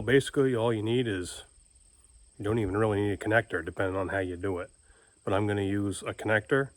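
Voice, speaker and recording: A man's voice: lowish voice, no echo. A man with a folksy, plain-spoken manner, giving a casual, informal how-to explanation as a video host. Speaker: homestead video host and ham radio operator.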